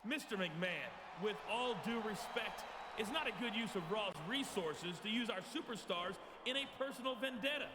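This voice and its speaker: A man's voice: sits high, normal speed, very noisy, lightly acted. A man playing a firm, defiant wrestling authority figure in a video game.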